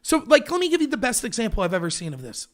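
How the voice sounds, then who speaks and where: High-voiced sarcastic man ranting. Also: huge pitch swings, rapid-fire. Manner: emphatic, sarcastic, rhetorical questions. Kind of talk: political livestream rant.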